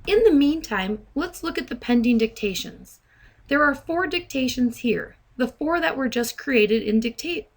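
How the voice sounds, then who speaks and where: A woman software trainer narrating a step-by-step demo in a measured, instructional way. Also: high-pitched, expressive.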